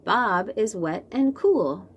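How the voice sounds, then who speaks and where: A woman reading a picture book aloud to young children, slow and expressive, then chatting about the books. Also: mid-range voice, highs cut off, a little hiss or hum. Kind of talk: read-aloud storytime for young kids.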